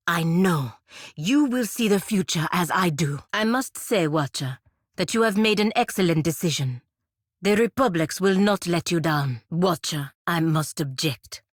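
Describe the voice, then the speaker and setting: Proud fantasy warrior woman, stern patriot. Low, dry-recorded voice, measured and formal game dialogue. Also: no room sound. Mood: solemn, hopeful. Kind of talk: scripted video game character dialogue.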